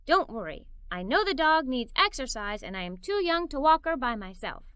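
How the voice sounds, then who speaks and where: A woman voicing an eager, helpful, playful little girl in a children's storybook, chirpy and expressive. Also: sits high, normal speed, clean tone, no room sound, clean studio sound. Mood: reassuring. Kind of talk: children's storybook read-aloud.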